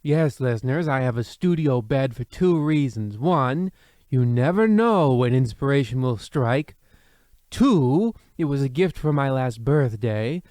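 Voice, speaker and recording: A man's voice: medium pitch, animated, a little slow, a little mellow, no room sound, clean studio sound, natural voice. A man playing a pompous, rambling, self-admiring host of an old-time radio mystery play, with theatrical delivery. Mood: self-satisfied, whimsical.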